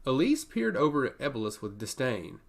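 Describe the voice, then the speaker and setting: A man's voice: medium pitch, sing-song, normal speed, creaky. A man reading scripted fantasy fiction aloud as a storyteller. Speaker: audiobook narrator.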